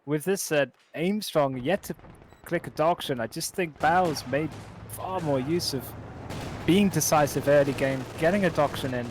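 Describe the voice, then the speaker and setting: Male caster, slightly raised pitch, brisk banter, game gunfire behind him. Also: slight background noise. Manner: brisk, self-deprecating banter. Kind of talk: esports play-by-play commentary. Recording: battle sounds under voice.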